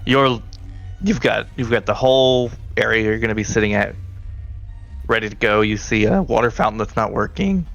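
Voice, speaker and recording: A man with a creaky, fry-edged voice runs a tabletop role-playing game. He narrates in a casual, conversational way, improvising as he goes, with music playing behind him. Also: lowish voice, normal speed, a bit bright, muffled recording. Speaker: game master.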